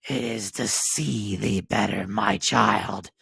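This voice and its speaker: Guy doing a big bad wolf, rough n husky, slow coaxing menace, fairy tale style. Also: low-pitched, fairly even intonation, pushed and bright, no echo, exaggerated voice. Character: cunning wicked big male wolf, hungry predator.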